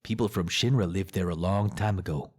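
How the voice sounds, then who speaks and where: A man's voice: low voice, brisk, close to an everyday voice. A man playing an earnest, troubled young mercenary in a dramatic video game voice-over. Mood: somber. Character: earnest, troubled young male mercenary recounting his past.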